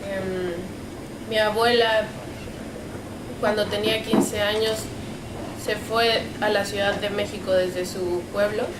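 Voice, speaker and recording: A woman's voice: mid-range voice, flat delivery, a little slow, long pauses. A woman from Mexico City with a Mexican Spanish accent, telling a reflective personal story. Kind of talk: personal testimony. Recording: echoey room recording with noise.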